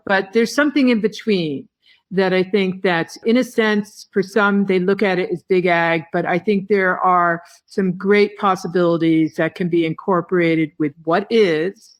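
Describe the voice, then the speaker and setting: A woman giving a reflective interview as a guest, speaking in a halting, thoughtful way with phrases broken by pauses. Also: fairly low pitch. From not originally from Hawaii, long resident there. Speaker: podcast guest, tea grower and company head.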